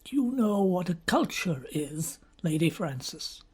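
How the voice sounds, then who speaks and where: A man voicing a slow, grave, sinister doctor. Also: high-pitched, sing-song, darkish tone, breathy edge, heavily acted. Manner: slow, deliberate, probing questions. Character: grave, sinister middle-aged male country doctor. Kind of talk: audiobook character reading.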